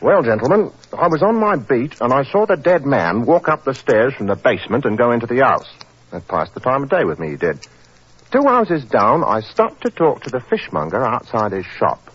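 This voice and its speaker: An eager, plodding constable in an old radio drama. His husky voice swings widely in pitch and his talk is folksy and respectful. The recording is muffled. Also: sing-song, normal speed. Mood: earnest, deferential. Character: earnest, deferential working-class London police constable, eager and plodding. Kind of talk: 1940s radio acting.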